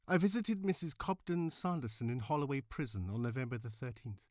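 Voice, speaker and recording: An impassioned, cultivated campaigner for women's votes, voiced by a man at a middling pitch. The oratory is formal, measured and emphatic, like a dramatic reading of a historical speech. The sound is dry. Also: no room sound, lightly acted. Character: impassioned, cultivated suffragist woman orator of the 1900s.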